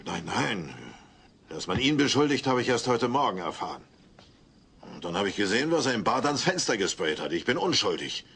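An actor playing a jovial, sheepish middle-aged neighbour in a German audio play, chummy and a little hesitant. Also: male voice, mid-range voice, big pitch swings, brisk, fairly bright tone, slightly rough, exaggerated voice. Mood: embarrassed, confessing.

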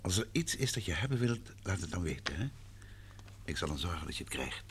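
An actor playing a courteous, composed gentleman detective in an old radio drama, measured in manner, on a noisy old recording. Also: low voice, sing-song. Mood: soothing, probing. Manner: soothing, measured, probing.

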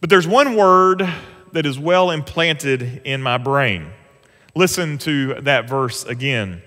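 A pastor, preaching an earnest, conversational sermon from a church stage. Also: male voice, medium pitch, expressive, takes it slowly, bright, forceful tone. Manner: earnest, conversational preaching with rising emphasis on scripture. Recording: long church hall reverb.

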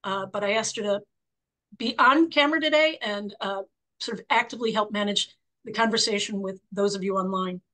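A woman in her sixties with a croaky voice, hosting a book club interview over a video call from her home office, chatty and full of fillers. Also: mid-range voice, brisk. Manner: warm, chatty, many fillers and restarts.

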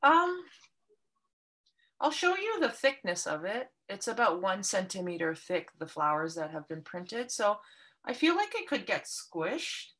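A woman's voice: medium pitch, big pitch swings, a little slow, a bit bright. A woman from Canada leading an online paper craft workshop, explaining each step patiently and kindly over a video call. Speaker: art workshop instructor.

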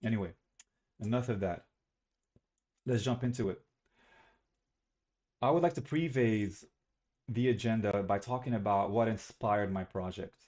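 A man's voice: lowish voice, unhurried, pauses often, darkish tone, highs cut off. A young man from Algeria giving a recorded student presentation on a webcam, talking casually and correcting himself as he goes. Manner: casual, self-correcting, slightly rambling.

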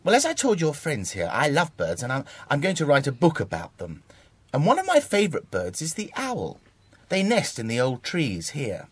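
A man's voice: on the high side, huge pitch swings, normal speed, slightly rough, no room sound, exaggerated voice. An actor with a British accent playing a shifty, over-polite man who poses as a friendly bird lover in a children's audio drama. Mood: confiding.

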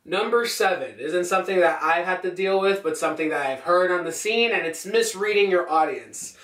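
A man's voice: sits high, normal speed, a bit bright. A young man giving casual, conversational tips to camera as a poet who performs on stage. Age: young adult. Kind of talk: casual instructional vlog talk to camera. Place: small bare-walled room indoors. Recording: echoey room, distant camera microphone.

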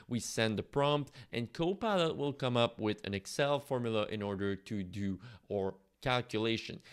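Guy walking thru a software tutorial, lowish clear smooth voice. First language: French. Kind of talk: conversational step-by-step screen tutorial.

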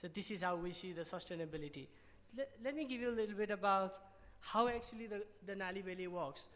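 Young Nepali-accented man, high-ish voice, halting conference pitch on a podium mic, long hall reverb. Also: fairly bright tone. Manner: hesitant, many restarts and fillers. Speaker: award presenter.

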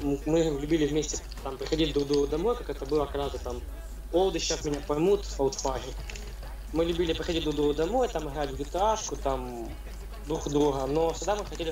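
A man's voice: on the high side, darkish tone. Young man, distant echoey mic. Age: young adult. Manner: rambling, loose storytelling with many fillers. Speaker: interview guest, game player. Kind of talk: casual interview.

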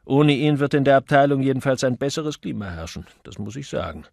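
A man's voice: mid-range voice, animated, fairly quick, gravelly, darkish tone, no room sound, clean studio sound, voice pushed up. Man reading a wry, plain-spoken young office woman. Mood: wry gossip. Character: second young female office typist, plain-spoken, mildly scornful. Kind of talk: audiobook character voice.

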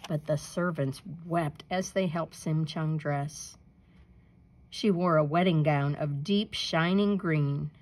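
A woman reading a children's folktale aloud in an expressive, deliberate storytelling manner. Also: lowish voice. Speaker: storybook reader. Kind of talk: scripted narration, children's folktale read aloud.

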